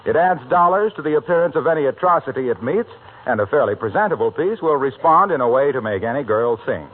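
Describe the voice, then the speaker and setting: A vintage radio recording, narrow with faint noise, carries an American man announcing. His delivery is a polished, emphatic 1940s sales pitch with very lively intonation. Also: fast. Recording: narrow, slightly noisy old radio broadcast.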